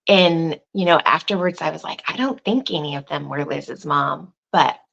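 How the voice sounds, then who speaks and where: A woman in casual conversation, thinking aloud with restarts and asides, who says she has a loud voice. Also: sits low, normal speed, vocal fry, muffled recording. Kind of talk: casual chat.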